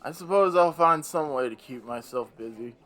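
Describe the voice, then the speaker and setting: A man's voice: fairly high pitch, animated, normal speed, fairly bright tone. A man playing a bitter, weary old Devil in a radio play, grumbling in a theatrical, sardonic way. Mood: wry, grumbling.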